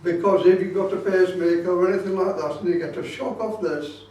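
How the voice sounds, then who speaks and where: Man, high voice, informal demonstration talk, insistent explanatory manner, heavy room echo. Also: brisk, darkish tone, lo-fi sound, slight background noise. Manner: repeats points insistently, explanatory. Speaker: radio club presenter giving a talk. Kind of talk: informal hands-on demonstration talk with safety warnings. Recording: very echoey room, distant microphone.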